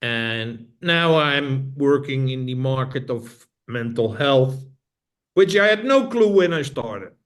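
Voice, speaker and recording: A man's voice: mid-range voice, expressive, takes it slowly. A middle-aged man from the Netherlands with a Dutch accent, speaking as an interview guest in a thoughtful, repetitive way with frequent restarts. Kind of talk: casual interview talk.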